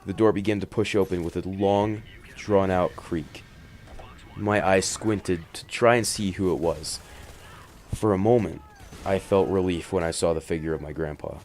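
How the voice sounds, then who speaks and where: Male horror-story host, fairly deep voice, dramatic delivery that moves between hushed and emphatic. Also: steady tone, moderate pace, a little hiss or hum. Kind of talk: dramatic first-person horror narration with casual commentary.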